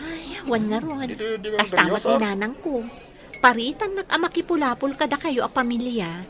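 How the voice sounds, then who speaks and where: A woman's voice: on the high side, lively intonation, moderate pace, a bit bright. A woman acting an agreeable, coy young village sweetheart in an Ilocano radio drama.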